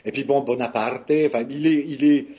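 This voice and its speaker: A man's voice: medium pitch, steady tone, normal speed, warm tone. A man from France, a voice actor, answering interview questions in a hesitant, casual way with many fillers and restarts. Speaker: voice actor guest. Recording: muffled lo-fi recording.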